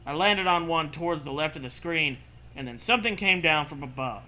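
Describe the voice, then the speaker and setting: A man's voice: fairly high pitch, a little fast, sharp tone, low-quality recording. A man co-hosting a comedic reading of a story, hammy and dramatic, breaking off for casual joking asides. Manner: hammy, breaks off to riff and joke. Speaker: co-host reading a story aloud.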